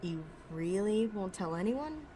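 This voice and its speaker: A brash female outlaw voiced by a woman in an audio roleplay, sassy and sarcastic at an average feminine pitch. She is a wisecracking, foul-mouthed thief with plenty of swagger. There is some noise behind the voice. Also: expressive, measured pace, warm tone, lightly acted. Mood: incredulous, mocking. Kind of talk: ASMR roleplay audio drama.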